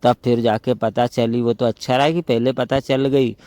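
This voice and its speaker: A mellow, dry-sounding man acting as a flirtatious younger brother-in-law in a phone-call drama. He chats casually and rambles like a gossip, at an ordinary male pitch. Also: flat delivery, moderate pace, no room sound, natural voice. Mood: gossipy. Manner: conversational, gossipy, rambling storytelling. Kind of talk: staged phone-call role-play, casual chat.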